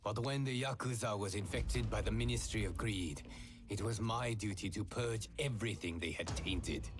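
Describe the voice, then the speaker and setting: A man playing a grim, duty-bound Yakuza assassin in a video game, narrating his story in a solemn, measured way. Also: lowish voice, background noise, natural voice. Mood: grim resolve. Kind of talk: scripted dramatic narration.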